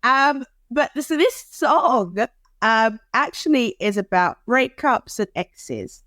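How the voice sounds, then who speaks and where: A woman co-hosting a podcast in casual chat, animated and quick to laugh, with dramatic asides. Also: fairly high pitch, no room sound, clean studio sound. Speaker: podcast co-host.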